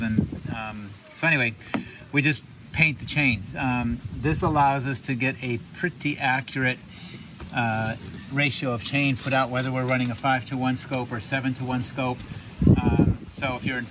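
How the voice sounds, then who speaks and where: Man, fifties, laid-back sailing vlogger explaining deck chores to camera; loose, chatty delivery full of fillers; outdoor marina take with clear wind and harbor noise. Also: mid-range voice. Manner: relaxed, chatty, many ums and restarts. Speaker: sailing vlog host. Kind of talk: casual how-to explanation.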